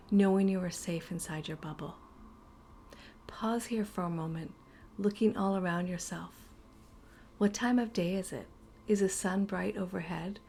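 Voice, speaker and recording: A woman leads a guided meditation in a fairly low voice with a strong creak. Her delivery is slow, breathy and soothing, often sinking toward a whisper. Some ambient noise sits behind her. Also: warm tone.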